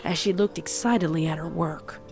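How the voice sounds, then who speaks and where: A woman reads horror fiction as narrator in a slightly low voice. Her delivery is deliberate and dramatic, with faint music underneath. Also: creaky.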